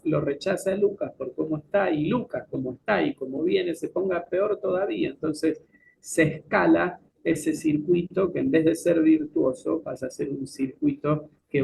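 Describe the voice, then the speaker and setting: Gravelly-voiced man with a Rioplatense accent. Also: fairly high pitch, slight background noise, low-quality recording. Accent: Rioplatense Spanish. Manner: lively, teacherly, asks and answers his own questions. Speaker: psychiatrist guest. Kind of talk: explanatory interview talk.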